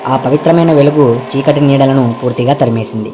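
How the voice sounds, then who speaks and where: A man narrating a scripted moral tale for an animated story. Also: mid-range voice, brisk, background noise. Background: background music.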